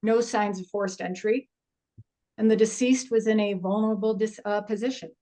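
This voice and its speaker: A woman's voice: mid-range voice, steady tone, moderate pace, warm tone, close to an everyday voice. A woman playing a middle-aged forensic investigator at a film table read, matter-of-fact and wry, with a hesitant conversational delivery. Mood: businesslike, awkward. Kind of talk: actor's table read of an indie horror film.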